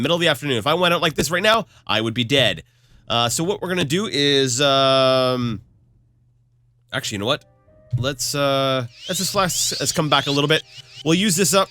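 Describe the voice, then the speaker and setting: A man's voice: fairly high pitch, bright, forceful tone. Nasal man rambling through game commentary. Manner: rambling, self-correcting, thinks aloud. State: recovering from a cold, slightly cracky voice. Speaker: let's play host. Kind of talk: casual gameplay commentary.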